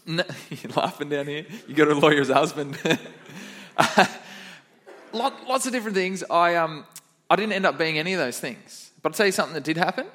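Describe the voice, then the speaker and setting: Upbeat kids pastor, higher voice. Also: male voice, animated, brisk, fairly bright tone. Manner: upbeat, chatty, quizzes the kids. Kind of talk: children's sermon to a church. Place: church.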